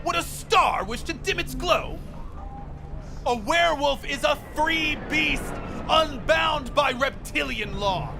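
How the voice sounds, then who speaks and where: An actor playing a fanatical, paranoid street prophet in a video game, preaching conspiracy theories with theatrical, defiant grandeur. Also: male voice, extremely high pitch, lively intonation, slow, vocal fry, music in the background, full character voice. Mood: fervent, defiant.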